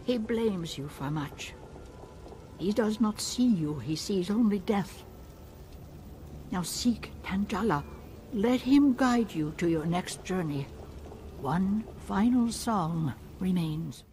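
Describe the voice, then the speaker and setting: A woman playing a serene, solemn alien spiritual leader in a video game, measured and reverent. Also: medium pitch, animated, warm tone, slight background noise. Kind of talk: dramatic video game acting. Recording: music behind.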